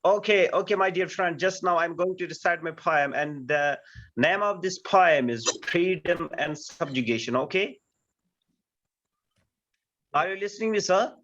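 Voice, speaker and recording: A man's voice: a little high, a little slow, a bit bright, lo-fi sound. A man, a poet taking part in an online gathering, speaking and reciting formally over a call.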